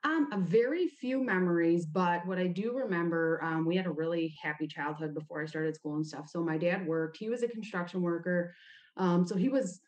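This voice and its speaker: Low-pitched woman, conversational. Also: normal speed, a little mellow. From United States, grew up in Ohio. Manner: informal, frequent "like" as a verbal habit. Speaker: podcast guest. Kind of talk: conversational personal storytelling in an interview.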